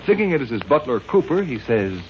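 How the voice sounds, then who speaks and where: A man's voice: medium pitch, very animated, a little fast, a little hiss or hum. A man announcing in a formal, dramatic, scripted 1930s radio style. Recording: muffled 1930s radio recording. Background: music.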